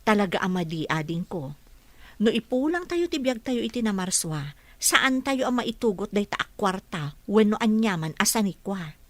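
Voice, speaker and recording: A woman's voice: mid-range voice, big pitch swings, normal speed, dry recording, close to an everyday voice. A woman acting a sensible, moralizing older sister in an Ilocano radio drama. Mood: gossipy, moralizing.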